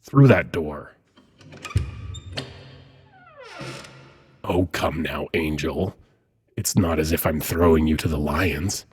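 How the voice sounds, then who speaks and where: A man voicing a teasing, seductive, gently menacing demon prince in an intimate ASMR roleplay, husky and breathy, often close to a whisper, with silky, unhurried delivery. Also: very deep voice, full character voice. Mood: teasing, coaxing.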